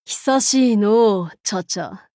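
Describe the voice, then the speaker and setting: A woman's voice: mid-range voice, slow pace, warm tone, dry recording, natural voice. A woman voicing an imperious, haughty demon-king warlord in a mobile game, speaking in pompous, archaic lordly Japanese phrasing. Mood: composed, lordly.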